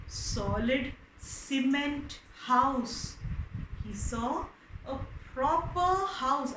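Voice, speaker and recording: A woman storyteller with an Indian accent and a very high, bright voice. She narrates for children at a slow, deliberate pace and sounds expressive. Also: reverberant, slight background noise, poor audio.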